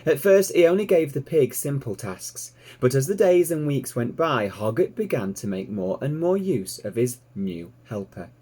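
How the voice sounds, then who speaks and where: A man narrating a children's story in an expressive read-aloud style. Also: mid-range voice, moderate pace, few pauses, slightly rough. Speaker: storybook reader, teacher.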